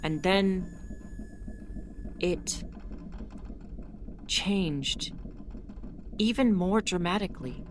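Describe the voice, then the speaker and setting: Woman narrating as a starship captain. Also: lowish voice. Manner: measured, introspective storytelling. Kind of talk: audio drama narration. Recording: noisy audio drama recording.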